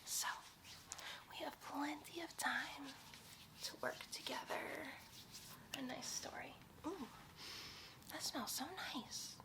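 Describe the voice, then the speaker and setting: A woman's voice: sits high, noisy background, full character voice. A woman voicing a giddy, bossy young superhero sidekick in a whispered, theatrical, teasing ASMR roleplay. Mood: bored, taunting.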